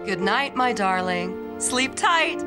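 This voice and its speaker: A woman voicing a kind, motherly witch in her thirties in an animated cartoon. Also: medium pitch, takes it slowly. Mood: tender. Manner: gentle, motherly. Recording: music underneath.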